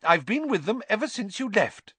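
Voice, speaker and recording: A man performs a storybook school matron, lifted well above a man's usual pitch. She is bristling and indignant, scolding in a shrill tone. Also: very animated, normal speed, sharp tone, slightly rough, dry recording, heavily acted.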